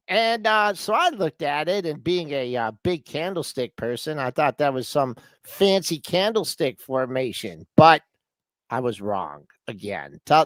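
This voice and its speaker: A man hosting a casual live market show, lively and playful, asking long rambling questions. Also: a little high, dry recording. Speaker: show host.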